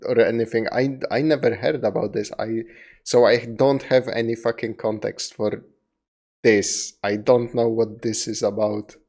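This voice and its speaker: A man's voice: mid-range voice, fairly even intonation, unhurried, a little mellow. A young man from Poland, giving casual reaction commentary bluntly and swearing freely. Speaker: streamer reacting to a video.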